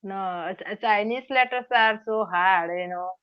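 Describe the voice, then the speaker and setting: A woman in her twenties practising English on a video call, halting and hesitant as she searches for words. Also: mid-range voice, smooth, no echo. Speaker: English learner. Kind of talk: casual video-call conversation. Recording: dull, dry video-call audio.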